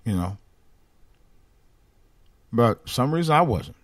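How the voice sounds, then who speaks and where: A man speaks on a casual live talk stream with a light voice that he says lacks bass. He rambles and restarts his sentences, and his pitch rises and falls widely. The recording is dry, with no room sound. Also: very animated, takes it slowly, no echo. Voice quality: light voice without much bass. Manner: rambling, repeats and restarts phrases. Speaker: podcast host. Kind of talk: casual personal monologue.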